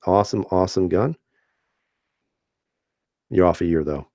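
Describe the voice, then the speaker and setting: A man hosting a casual live stream, reading viewer comments aloud and answering in a relaxed, chatty way with frequent fillers and easy laughs. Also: sits low, moderate pace, dry recording, clean studio sound. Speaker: channel host reading live chat.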